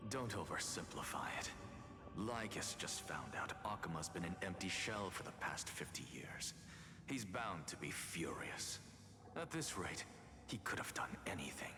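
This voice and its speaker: An actor playing a calm, wry, scheming male alchemist genius in a game. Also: low-pitched, unhurried. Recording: game audio with reverb and music.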